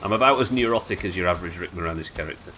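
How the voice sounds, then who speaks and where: Male game streamer, nasal and a bit hoarse, wry muttering chat, lowish voice with a sharp edge. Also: rapid-fire, slight background noise. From United Kingdom. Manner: chatty, wry, swears casually, muttering asides. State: sick, slightly hoarse.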